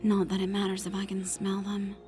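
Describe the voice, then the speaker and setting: Actress as tough female spy, cynical, wry inner monologue, very flat intonation, audiobook drama, music underneath. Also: mid-range voice, a little fast, lightly acted. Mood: wry disgust. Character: tough, cynical female secret agent, thinking to herself. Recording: music behind the voice.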